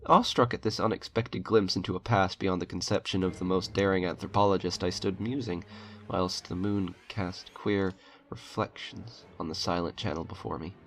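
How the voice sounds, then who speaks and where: Deep, gravelly man reading a horror tale. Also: steady tone, normal speed. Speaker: narrator reading a horror short story. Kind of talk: dramatic audiobook-style narration. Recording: music under the voice. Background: eerie background music.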